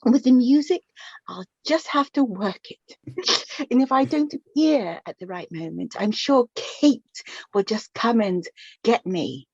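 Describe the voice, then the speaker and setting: Slow, high-voiced, chatty middle-aged mother played by an actress. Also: expressive, creaky, darkish tone, breathy edge, no echo, heavily acted. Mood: flustered, amused. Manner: hesitant, halting, chatty, with small nervous repetitions. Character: chatty, affectionate middle-aged mother of the bride, slightly flustered. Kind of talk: radio play dialogue. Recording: dull lo-fi, slightly muffled recording.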